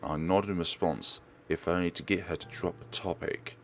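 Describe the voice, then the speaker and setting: A man's voice: low voice, fairly even intonation, normal speed, soft background music. A man doing a let's play, reading a visual novel aloud and stumbling over words before correcting himself. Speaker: let's player.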